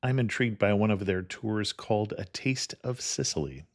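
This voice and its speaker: A man's voice: on the low side, expressive, moderate pace, no echo. Upbeat male podcast host, dry sound. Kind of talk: podcast host reading ads and interviewing.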